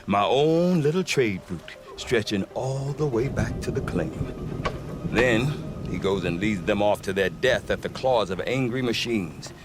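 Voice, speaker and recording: A man's voice: fairly low pitch, animated, unhurried, over music. A middle-aged man playing a wry, grumbling, talkative frontier trader in a video game. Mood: sardonic, chatty. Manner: wry, talkative, hesitant when choosing words. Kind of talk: video game dialogue acting.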